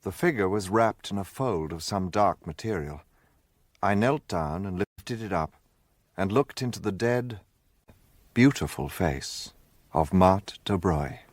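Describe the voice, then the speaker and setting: A man's voice: sits low, expressive, a little slow, no room sound, clean studio sound. An actor playing a detective's earnest, bewildered male companion in a radio drama. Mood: grave narration. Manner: questioning, bewildered.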